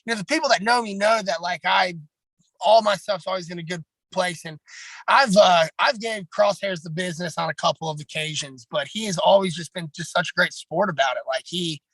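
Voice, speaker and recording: Raspy-voiced man, blunt and rambling. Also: high voice, fairly quick, fairly bright tone, dry recording, rough recording. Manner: blunt, rambling, heavy on fillers. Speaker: pro wrestler. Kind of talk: casual podcast chat.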